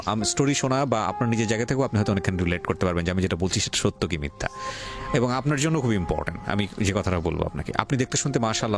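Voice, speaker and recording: A man with a Bangladeshi accent hosting a radio talk show, questioning deliberately and mixing in English words. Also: on the low side. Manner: deliberate, mixes English words into his speech. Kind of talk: probing interview, addressing guest and listeners. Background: music.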